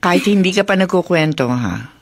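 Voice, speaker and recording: A woman acting a caring, knowing middle-aged widowed mother in a Filipino radio drama, gently teasing and motherly. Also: fairly low pitch, lively intonation, brisk. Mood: knowing, gentle.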